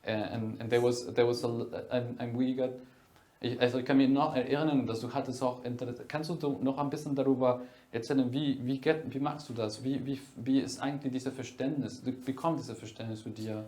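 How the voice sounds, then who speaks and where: A man's voice: medium pitch, steady tone, fairly quick, dark tone. Hesitant male festival host. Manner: hesitant, many fillers and restarts, switches languages. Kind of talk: casual moderated talk.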